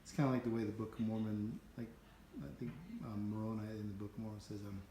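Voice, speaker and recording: A man's voice: on the low side, level tone, fast talker, warm tone. A man asking questions and musing casually in conversation with others in a room. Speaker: interviewer. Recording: roomy echo, faint background noise.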